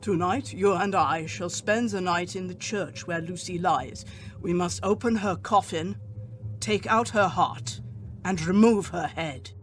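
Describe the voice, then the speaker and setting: An earnest, solemn older doctor in a radio drama. His voice sits rather high for a man, and his phrasing is formal and deliberate. A light noise runs behind him. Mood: grave, urgent. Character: grave, earnest older male doctor and vampire hunter.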